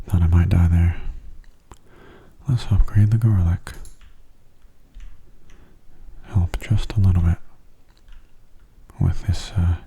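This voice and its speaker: A man giving soft-spoken ASMR game commentary in a gentle, breathy, unhurried voice that often drops close to a whisper. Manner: gentle, unhurried, low-key. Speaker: gaming video host.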